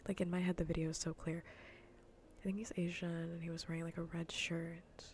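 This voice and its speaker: A woman streamer chatting playfully and casually in a soft, breathy, often near-whispered ASMR style, close to the microphone. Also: on the low side, flat delivery, brisk, slight background noise. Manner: playful, rambling, half-whispered casual talk. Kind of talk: soft-spoken ASMR gaming livestream chat.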